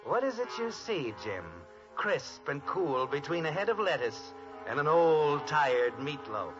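A melodramatic narrator of a fifties radio mystery parody. He is ominous and all-knowing, with highly animated pitch. Loud music plays behind the dull old recording. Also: a little slow. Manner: theatrical, ominous. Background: loud dramatic music.

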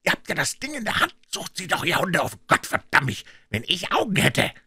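A blind pirate chief in a storybook reading. The voice leaps high above a normal male register and swings wildly. He barks commands and spits curses with furious, bullying scorn. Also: huge pitch swings, fairly quick, husky, no echo, exaggerated voice. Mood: scornful, raging. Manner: shouted commands and scornful cursing. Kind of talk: dramatic audiobook character reading.

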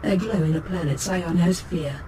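An actor voicing a calm, knowledgeable artificial ship's computer, giving scripted encyclopedic narration in a measured, formal, precise manner. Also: mid-range voice, lightly acted. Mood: calm, informative. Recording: clear noise, spaceship ambience behind.

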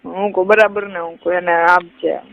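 A woman's voice: on the low side, little pitch movement, brisk, gravelly. A woman with a Kathiawadi Gujarati accent chatting casually on a recorded phone call. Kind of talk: casual private phone chat.

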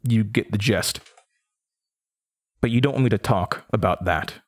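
An American man in his twenties playing a weary, sardonic Midwestern horror radio host in an audio drama, with a dry, halting manner. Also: on the low side. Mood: sardonic, uneasy. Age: 20s. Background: music behind the voice.

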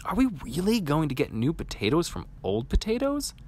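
A man's voice: on the high side, wildly swooping pitch, normal speed, darkish tone, voice pushed up. A man voicing a curious, eager young child in a storybook, with a questioning manner.

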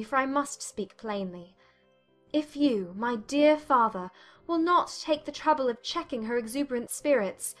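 A woman's voice: sits high, fairly bright tone. A woman playing an earnest young heroine. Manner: earnest, pleading.

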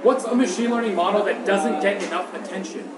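A man reading out short quick-fire questions as an interviewer. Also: sits high, a loud crowd. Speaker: interviewer, host. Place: outdoor city walkway. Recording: echoey, noisy outdoor ambience.

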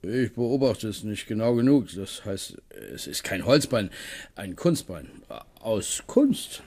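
A wry, musing man with an artificial leg in a radio play. His voice sits low and he drags each halting phrase out very slowly. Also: expressive, a little mellow. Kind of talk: 1970s radio play acting.

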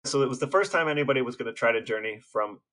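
Bright-voiced American man telling a story. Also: mid-range voice, very fast. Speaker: podcast co-host. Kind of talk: casual storytelling chat.

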